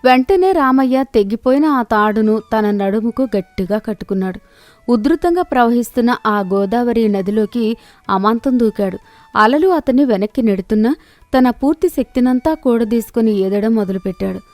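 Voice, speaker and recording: Woman narrating a storybook, fairly high voice. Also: moderate pace, no echo. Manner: steady, clear storytelling.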